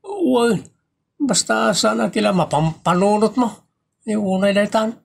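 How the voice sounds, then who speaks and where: Man acting a husky, flustered Filipino husband. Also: high voice, expressive, takes it slowly, heavily acted. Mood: pleading. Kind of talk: Ilocano audio comedy drama acting.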